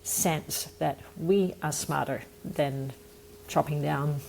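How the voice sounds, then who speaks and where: Aussie woman guest, low gravelly voice, earnest and reflective, telling how it all started. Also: steady tone, takes it slowly, a little mellow, slight background noise, poor audio. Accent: Australian. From Australia. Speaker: nonprofit founder, podcast guest. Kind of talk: conversational interview answer.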